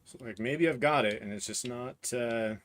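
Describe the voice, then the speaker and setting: A hoarse man in his thirties livestreaming a printer build, talking haltingly as he searches for words and clears his throat. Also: medium pitch, fairly bright tone, slight background noise. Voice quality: voice cutting out, clears throat often. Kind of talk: live build stream, casual talk while working.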